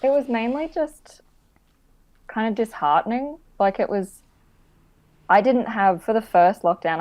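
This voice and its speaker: Woman, actor, guest interview, slightly low pitch, hesitant, filler-heavy. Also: darkish tone. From Queensland, Australia. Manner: hesitant, many ums, laughs while talking. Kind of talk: casual interview.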